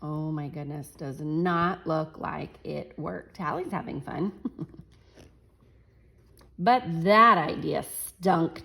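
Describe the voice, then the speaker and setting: A woman teacher reading a picture book aloud to children, animated and chuckling, pausing to talk to her class. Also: lowish voice. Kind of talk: children's read-aloud storytime.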